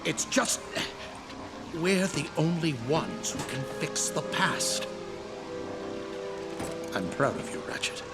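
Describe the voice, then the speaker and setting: An actor playing a weary, gruff general in a video game drama, speaking earnestly and haltingly. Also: male voice, on the high side, very animated, over loud music.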